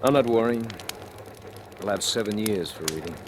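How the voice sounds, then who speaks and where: An actor playing a shrewd, cynical convicted embezzler who narrates his crime in deliberate, dramatic 1940s radio thriller style. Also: lowish voice, background noise, natural voice. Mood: wry, calculating. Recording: old radio drama with music behind.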